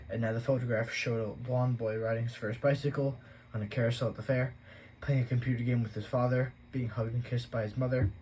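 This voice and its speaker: A man with a medium-pitched voice reads a novel aloud in a plain, steady storytelling manner. A faint hiss of noise sits under his voice. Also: rough recording. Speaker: audiobook reader.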